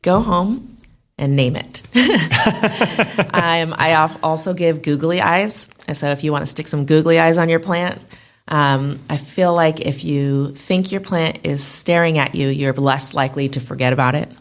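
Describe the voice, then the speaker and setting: A woman's voice: low-pitched, a little slow. A woman speaking casually and informally as an interview guest, in a chatty way. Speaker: guest, plant shop owner.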